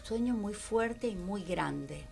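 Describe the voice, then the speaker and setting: An older woman with an Argentine accent, a guest actress chatting on a radio show, animated with frequent laughs and trailing fillers. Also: medium pitch, takes it slowly, creaky, faint music behind. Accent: Rioplatense Spanish. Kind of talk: casual radio interview. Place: small radio studio with foam walls. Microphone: studio mic and headphones.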